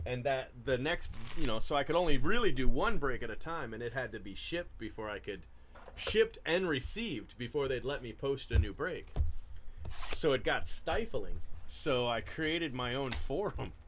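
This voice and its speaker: A man's voice: medium pitch, expressive, normal speed, fairly bright tone, a little hiss or hum. A man hosting a trading card break, chatting casually about his past with many fillers and restarts. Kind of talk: casual chat while opening cards.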